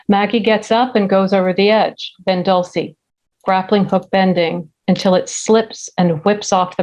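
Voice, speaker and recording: Woman reading screenplay action, mid-pitched. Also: low-quality recording. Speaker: stage directions reader. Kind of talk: scripted narration of a screenplay.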